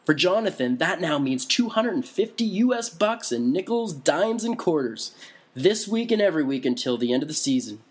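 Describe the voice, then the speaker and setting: A man voicing a loud, tactless punk woman in her late fifties, with a husky voice. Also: a little high, very animated, normal speed. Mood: gossipy.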